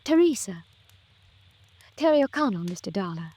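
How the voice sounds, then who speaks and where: A woman's voice: medium pitch, big pitch swings, moderate pace, mellow, husky. A woman acting a young, gracious, fragile American widow in a 1950s radio drama, hesitant and polite. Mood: flustered, polite. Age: young adult.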